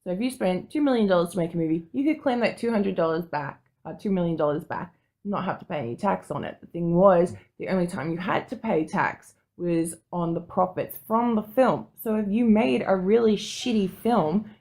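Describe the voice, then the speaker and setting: A woman's voice: lowish voice, moderate pace, darkish tone. A woman co-hosting a podcast in casual, chatty, sweary conversation. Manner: chatty, informal. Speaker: podcast co-host.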